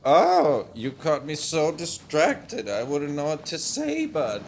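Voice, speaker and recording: Man doing a creaky, dithering puppet glutton. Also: fairly high pitch, huge pitch swings, takes it slowly, a little hiss or hum, heavily acted. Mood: dithering, gluttonous. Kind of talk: puppet show vox pop, fan dub.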